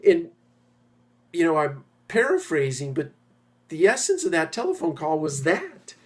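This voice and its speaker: A man's voice: on the high side, wildly swooping pitch, unhurried. Middle-aged man, emphatic informal monologue. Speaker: property management executive and host. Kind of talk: informal monologue addressed to industry peers.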